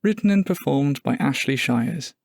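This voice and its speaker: A man reading a scripted podcast announcement in a measured, formal manner. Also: medium pitch, animated, vocal fry, darkish tone.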